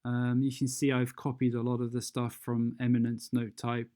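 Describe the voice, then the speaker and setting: A software tutorial presenter talking through steps unscripted and hesitantly, thinking aloud while demonstrating. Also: medium pitch, completely flat delivery, normal speed.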